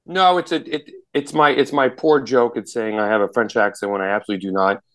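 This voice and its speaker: A man talking as an entrepreneur guest on a podcast, answering in a halting way with many restarts. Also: mid-range voice, fairly even intonation, a bit bright. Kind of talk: casual interview answers.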